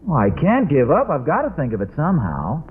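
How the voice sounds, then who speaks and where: A man's voice: a little high, huge pitch swings, a little fast. A tinny, faintly noisy old radio recording of a befuddled American husband. He is flustered and stubborn, explaining himself earnestly in a voice that swings up and down in classic sitcom style. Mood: defensive, stubborn. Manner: hesitant, flustered, mock-logical explaining. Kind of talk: 1940s-50s radio sitcom acting.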